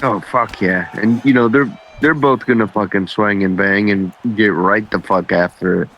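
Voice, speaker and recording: Man, color commentator, casual and profane, fairly low voice. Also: steady tone, normal speed, a bit bright, slight background noise, rough recording. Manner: laid-back and heavily profane.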